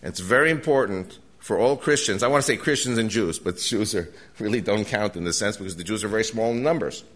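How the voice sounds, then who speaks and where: A man's voice: on the low side, fairly bright tone. An older American man giving an urgent, earnest lecture to a live audience, often restarting his sentences. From United States. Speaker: guest lecturer.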